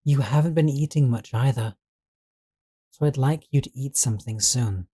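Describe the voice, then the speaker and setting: Possessive male servant, played by a man for audio roleplay: mid-pitched, dark relaxed tone, coaxing and controlling beneath polite deference. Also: normal speed, husky, natural voice. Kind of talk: scripted roleplay audio drama.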